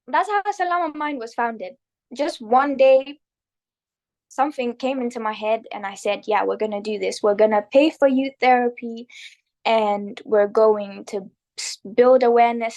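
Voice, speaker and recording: A woman's voice: a little high, a little slow, darkish tone. A young woman with a Kenyan accent, talking earnestly as a guest about founding her nonprofit, with frequent restarts. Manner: earnest and self-deprecating, with restarts. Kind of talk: casual interview talk.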